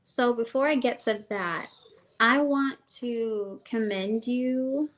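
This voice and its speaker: A woman's voice: fairly high pitch, rough recording. A woman from Jamaica with a Jamaican accent, a guest on a talk show, heaping effusive praise on the host. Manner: slow, warm praise, effusive. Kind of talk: casual chat show talk.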